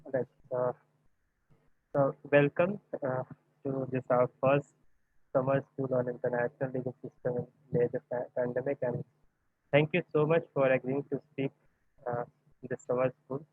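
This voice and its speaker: A man's voice: mid-range voice, little pitch movement, moderate pace, pauses often, no echo. A man moderating an online academic event, introducing a speaker in a polite, deferential way over a video call. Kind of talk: introducing a speaker, semi-formal. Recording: phone-like, narrow-band online call audio.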